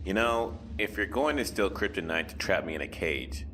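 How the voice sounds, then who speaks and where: An actor playing a confident, wry, good-hearted superhero in an audio drama, speaking conversationally. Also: male voice, low voice, lively intonation, moderate pace, very noisy.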